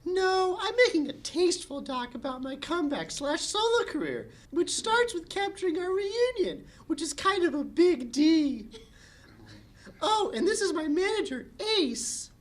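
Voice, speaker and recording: Bubbly, fame-hungry young cartoon heroine, very high squeaky gushing voice, showy comedic delivery. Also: female voice, big pitch swings, unhurried, heavily acted. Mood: excited, showy. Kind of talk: comedic table read.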